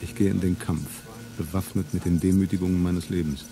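An actor playing a grim, defiant rebel man, declaiming gravely and solemnly in a radio play. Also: deep voice, little pitch movement, brisk. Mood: defiant, bitter. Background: music.

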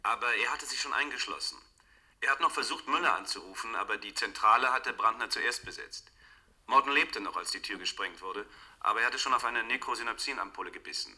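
A serious, thoughtful man in a science-fiction radio drama. His voice is very bright and tense, with a middle pitch. He explains grave matters with care. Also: brisk. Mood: grave, urgent. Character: serious, thoughtful man reporting on a future crisis. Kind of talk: German science-fiction radio play acting.

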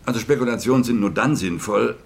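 A formal, sardonic government spokesman, fielding hostile questions at a press conference. His voice sits a little low and stays measured and bureaucratic. A faint noise runs under the recording. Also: gravelly. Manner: formal, curt, sardonic with reporters. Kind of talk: radio drama acting.

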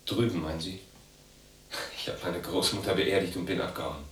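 An actor playing a sardonic, restless young East German loner in a radio drama, speaking in a clipped deadpan. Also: male voice, low-pitched, brisk, a little hiss or hum, natural voice. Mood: wry, deadpan. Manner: clipped, deadpan, asks and answers himself. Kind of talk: radio drama acting, part inner monologue.

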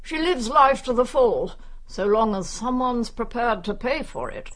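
A woman's voice: on the high side, sing-song, measured pace, fairly bright tone, heavily acted. An actress playing a nosy, brusque older English village woman in a radio drama, gossiping wryly. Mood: wry, confiding.

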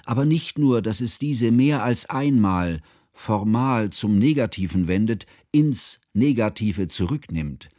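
Mournful, learned older narrator for literary radio drama: formal, measured, lower-pitched, very dry sound. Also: male voice, expressive, dark tone, dry recording. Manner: solemn, measured, ornate literary phrasing. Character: grieving, learned, formal older male narrator remembering his friend.

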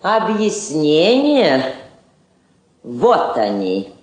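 A woman's voice: sits low, wildly swooping pitch, extremely slow, a little mellow, echoey room, exaggerated voice. An actress playing a firm, sardonic Soviet woman ambassador in a radio drama, speaking formally with dry irony. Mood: cool, firm. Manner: formal, declamatory, drily ironic.

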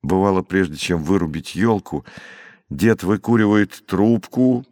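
A man reading a literary story aloud as an expressive audiobook narrator. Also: sits low, measured pace, in a large hall.

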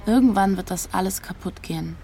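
A woman's voice: medium pitch, fairly quick, dark tone, a little hiss or hum, lightly acted. An actress playing a disillusioned young woman in a radio drama, speaking in a frank, matter-of-fact, naturalistic way. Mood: disillusioned, reflective. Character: disillusioned young woman from Leipzig, direct, searching.

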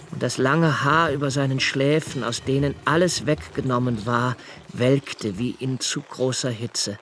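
An actor playing an anguished, brooding writer in a literary radio play monologue, speaking deliberately in halting phrases with intense emphases. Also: male voice, medium pitch, a bit bright, a little hiss or hum, natural voice. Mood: grave, intense. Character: anguished, brooding male writer confessing his dread.

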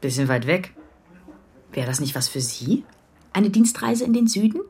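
A woman's voice: lowish voice, sing-song, normal speed, warm tone, slightly rough, heavily acted. An actress playing a skeptical, wry young policewoman in a radio crime drama, casual and questioning. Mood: reluctant, sarcastic.

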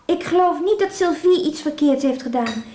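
An actress playing an anxious, talkative teenage girl in a radio drama, confiding in halting, rambling speech. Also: high voice, animated, warm tone, slight background noise. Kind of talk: radio drama acting, naturalistic dialogue.

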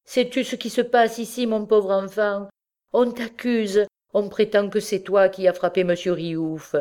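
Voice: mid-range voice, unhurried, darkish tone, clear, resonant tone, close to an everyday voice. A narrator playing an anguished, imploring mother. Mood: anguished relief. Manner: vehement, imploring, exclamatory. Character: distraught pleading mother defending her accused son.

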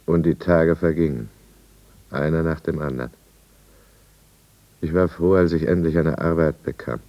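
Casting: anxious, evasive alcoholic businessman, middle-aged, low voice, careful diction, 1950s radio drama. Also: moderate pace, muffled recording. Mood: brooding, reflective. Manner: careful diction, hesitant and self-justifying. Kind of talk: 1950s German radio drama acting and narration.

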